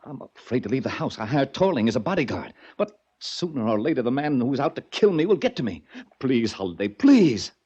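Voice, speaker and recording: A man's voice: medium pitch, sing-song, a little fast, mellow, husky. An actor playing a desperate, frightened rich man marked for death, pleading in an agitated 1940s radio drama style. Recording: dull vintage 1940s radio recording.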